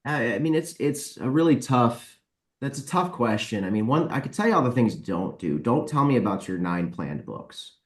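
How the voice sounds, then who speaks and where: A man's voice: medium pitch, animated, normal speed. A man giving blunt, explanatory answers in a casual interview as a podcast guest, recorded in an echoey room. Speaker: guest, book publisher.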